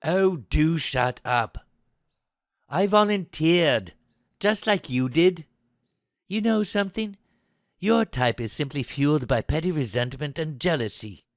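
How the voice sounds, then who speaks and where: A man's voice: on the high side, animated, moderate pace, no room sound, studio quality. Haughty upper-class English young soldier, acted by a man. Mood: indignant, scornful. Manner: haughty, sarcastic, clipped. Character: posh, well-bred, injured young British soldier, haughty. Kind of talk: audio drama acting.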